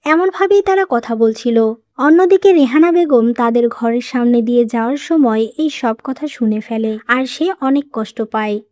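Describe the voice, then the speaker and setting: A woman's voice: on the high side, normal speed, darkish tone. A woman narrating a scripted moral story as its storyteller.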